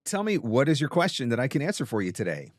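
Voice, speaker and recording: A man's voice: medium pitch, big pitch swings, quick pace, dry recording, studio quality. A man hosting a talk and giving conversational coaching advice, emphatic and repeating phrases for stress. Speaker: host and voiceover coach answering a question.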